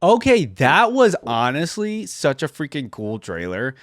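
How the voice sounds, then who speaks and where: A man reacting to videos as a host, effusive and slangy, thinking out loud in casual commentary. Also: fairly high pitch, wildly swooping pitch, unhurried. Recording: game audio and music behind.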